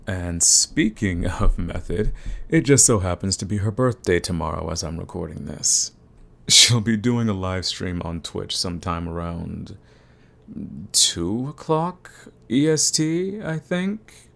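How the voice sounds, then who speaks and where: Male channel host: low and croaky, informal, rambling chat straight to listeners. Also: animated, a little slow, a bit bright. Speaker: audio roleplay creator, channel host. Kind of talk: casual rambling channel update.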